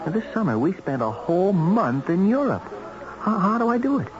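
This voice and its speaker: An actor playing a breezy, worldly big spender and tempter, folksy and teasing, in 1970s radio drama style. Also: male voice, high-pitched, big pitch swings, fairly quick. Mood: sly, tempting. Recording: dull old radio drama recording, music behind.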